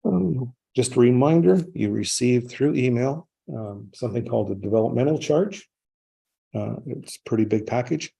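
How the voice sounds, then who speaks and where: A man with a gravelly, husky voice informally chairing a township council meeting. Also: mid-range voice, animated, moderate pace, warm tone, poor audio.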